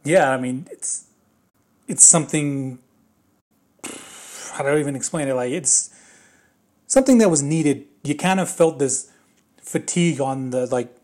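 A man with a slightly raspy voice giving a casual, rambling spoken movie review, full of fillers and restarts. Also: medium pitch, a little slow, pauses often, darkish tone.

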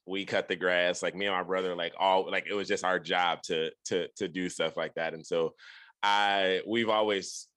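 A man's voice: sits low, a bit bright, no echo, studio quality. A young man from Detroit, Michigan, speaking as a podcast guest, telling his story in a rambling way with many restarts. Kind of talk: casual storytelling interview.

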